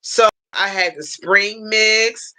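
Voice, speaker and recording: An American woman hosting a casual livestream, telling stories in an animated way, repeating phrases and acting out what people said. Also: mid-range voice, takes it slowly, low-quality recording. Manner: animated storytelling, repeats phrases, acts out quotes. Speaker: livestream host.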